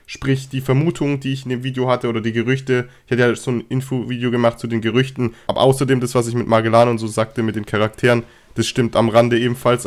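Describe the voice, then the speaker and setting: A young man with a cold and a slightly hoarse voice, chatting casually about game news straight to his viewers. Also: medium pitch. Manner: chatty, filler-heavy, addresses viewers directly. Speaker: gaming news youtuber.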